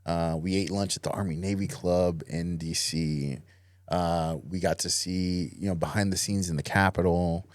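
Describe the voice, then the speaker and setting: A man's voice: deep voice, steady tone, moderate pace, dry recording, studio quality. A man talking casually as a podcast guest, easygoing, with lots of "you know" and fillers. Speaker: podcast guest, Marine veteran. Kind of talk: casual interview conversation.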